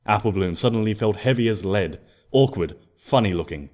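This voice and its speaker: A man narrating a scripted story expressively, in a gentle storybook manner. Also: on the low side, expressive, normal speed. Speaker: fan fiction narrator.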